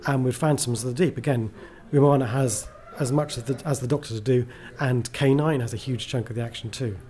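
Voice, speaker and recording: A man's voice: mid-range voice, steady tone, brisk, mellow. A man chatting casually as an interview guest, a writer who is enthusiastic, rambling and self-deprecating.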